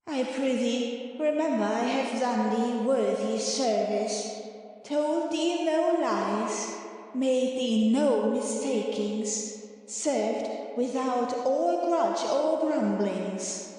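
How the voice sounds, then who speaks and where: A delicate spirit servant, acted by a woman in a dramatic Shakespeare audiobook, drenched in long cavernous reverb. The delivery is very slow and deliberate, in a fairly high voice. The spirit is formal and obedient, yet longs to be free. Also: mellow, faint music behind. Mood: earnest pleading. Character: Ariel, delicate airy spirit servant, subservient yet yearning for freedom.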